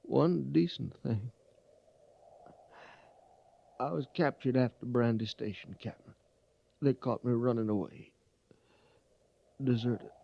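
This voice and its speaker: An actor playing a dying, defiant soldier haunted by desertion, halting and strained, in a 1950s radio western. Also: male voice, medium pitch, creaky. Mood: pained, confessing. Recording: muffled vintage radio recording.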